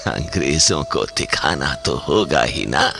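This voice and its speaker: An older man acting an ailing senior political leader in a Hindi radio play, reasoning in a deliberate, emphatic, fatherly way. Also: very deep voice, expressive, vocal fry, pushed and bright, soft background music, full character voice. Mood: challenging, earnest.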